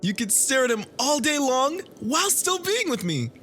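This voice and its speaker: A performer playing an obsessive, jealous, violently devoted lover in an ASMR roleplay, theatrical and swinging between breathy whispers and manic laughter. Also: high voice, very animated, moderate pace, a bit bright, slight background noise. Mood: gleefully deranged. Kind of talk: ASMR roleplay audio drama.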